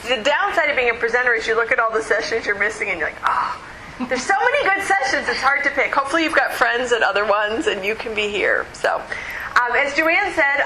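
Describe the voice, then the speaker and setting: A woman presenting at a conference in a loud, chatty way, moving around as she speaks. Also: on the high side, slight background noise. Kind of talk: casual conference talk. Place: conference meeting room. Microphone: room microphone, not close. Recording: echoey room recording.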